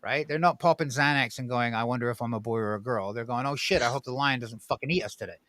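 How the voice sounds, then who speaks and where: A man who grew up in Egypt talks casually as a podcast guest, blunt and profane, swerving through stories with animated bursts. His voice sits at an ordinary middle pitch. The sound is close and dry, with no room around it. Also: fast talker, no echo.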